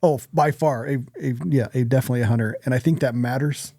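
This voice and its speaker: A man's voice: medium pitch, fast talker, warm tone, no echo, clean studio sound. A man co-hosting a podcast in casual conversation, talking bluntly and plainly with some casual swearing. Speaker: podcast co-host.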